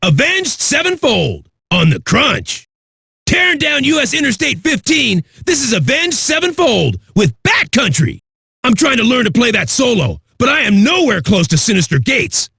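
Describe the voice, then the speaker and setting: A foul-mouthed, hyped-up rock radio DJ for a video game. His voice is raspy and very high for a man, with a forceful edge. He swaggers through every line like an aggressive party host, in a close, dry sound. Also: wildly swooping pitch, normal speed, no room sound, heavily acted. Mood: cocky, amped. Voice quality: raspy, gravelly. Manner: aggressive, rowdy, swaggering delivery.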